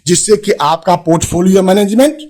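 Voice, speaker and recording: A man's voice: high-pitched, fairly quick, darkish tone. A man with an Indian accent hosting a finance advice channel, addressing viewers formally and emphatically, repeating phrases for stress. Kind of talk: presenter addressing viewers with a consumer alert.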